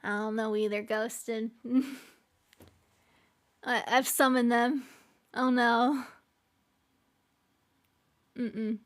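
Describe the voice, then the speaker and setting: A woman's voice: fairly high pitch, little pitch movement, drawn-out words, pauses often. A woman streaming live, chatting casually with her chat, laughing often and trailing off with fillers. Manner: giggly, laughs often between lines.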